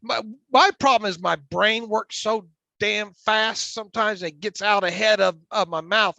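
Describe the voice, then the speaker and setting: Male podcast co-host with a stutter, raspy smoker's gravel, keeps restarting words; dry, muffled sound. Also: sits high, pushed and bright, no echo. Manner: frequent restarts and repeated words. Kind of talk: casual sports chat.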